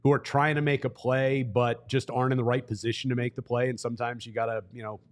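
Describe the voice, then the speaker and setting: A man who works as a play-by-play broadcaster, talking as a guest analyst in a measured, explanatory, conversational way. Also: medium pitch, flat delivery.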